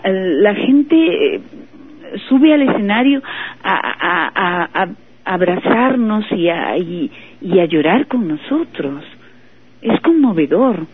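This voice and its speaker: Slow, emotional woman on the phone. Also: medium pitch, a little hiss or hum. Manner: halting, repeats words. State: moved, emotional. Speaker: guest. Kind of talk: emotional testimony. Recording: husky voice over phone line.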